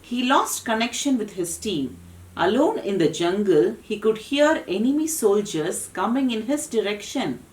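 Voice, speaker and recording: A woman's voice: medium pitch, expressive, measured pace, darkish tone. A woman narrating a scripted children's puppet show story. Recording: roomy echo, faint background noise.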